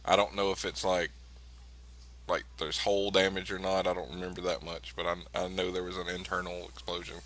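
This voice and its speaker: Low, bright-voiced man chatting casually. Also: little pitch movement, a little fast. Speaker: tabletop role-playing game player. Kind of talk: casual group chat during a tabletop game.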